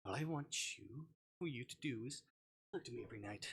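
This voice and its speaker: A streamer reading aloud a tender, clingy visual novel love interest, soft-spoken and intimate. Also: medium pitch, animated, fairly quick, a little hiss or hum, close to an everyday voice. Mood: tender, longing.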